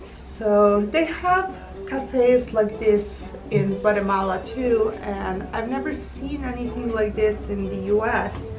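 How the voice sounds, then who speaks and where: A woman's voice: mid-range voice, takes it slowly. A woman from Serbia, an artist and gallery owner answering interview questions, hesitant and full of ums while searching for words. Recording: roomy, distant mic with music behind.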